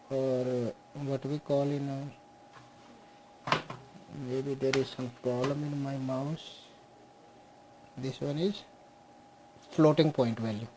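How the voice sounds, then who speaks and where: A young man teaching a programming class on screen, slowly walking through code step by step and mixing Hindi with English. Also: mid-range voice, mellow, slight background noise, poor audio. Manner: slow, explains step by step, mixes Hindi and English. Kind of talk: screen-share coding lesson.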